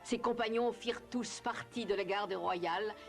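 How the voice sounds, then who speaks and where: A narrator telling a cartoon tale in measured, scripted storybook style. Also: medium pitch, gravelly, lightly acted. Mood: narrating. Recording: loud music behind the voice.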